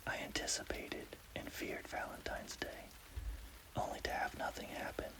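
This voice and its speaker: A man telling a scary story in a breathy, unvoiced ASMR whisper. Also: normal speed, poor audio. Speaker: horror story narrator.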